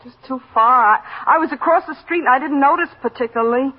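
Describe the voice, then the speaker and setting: A woman's voice: high voice, quick pace. An American woman playing a nervous, hesitant, apologetic eyewitness in a 1950s radio drama. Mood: apologetic, fretful. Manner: hesitant, fretful. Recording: dull old radio recording.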